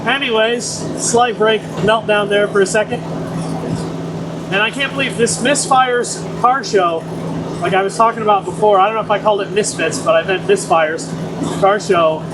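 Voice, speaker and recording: A man's voice: high-pitched, steady tone, normal speed. A man in his forties talking casually in a vlog, rambling and joking about getting older. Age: 40s. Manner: chatty, rambling, jokes about his age. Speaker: vlogger. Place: at an outdoor car show. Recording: noisy outdoor car show.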